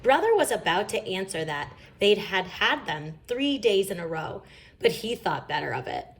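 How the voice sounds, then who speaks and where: A woman narrates a picture book for children. Her voice sits at a middle pitch and moves up and down in a lively way, with dramatic stress on key words. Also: normal speed, gravelly, a little hiss or hum. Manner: animated, dramatic emphasis. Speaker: story reader. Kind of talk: expressive children's storybook read-aloud.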